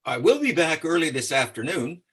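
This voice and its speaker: A breezy, oblivious bachelor neighbor played by a man in a radio comedy, affable and forceful. His voice is on the high side, rough-edged and animated. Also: moderate pace, heavily acted. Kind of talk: old-time radio comedy acting.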